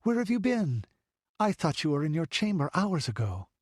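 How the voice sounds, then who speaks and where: A man's voice: a little high, very animated, moderate pace, no echo, studio quality, pitched above the performer's own voice. A man voicing an anxious young noblewoman who speaks tenderly to a child. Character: anxious noble young lady, gentle protector.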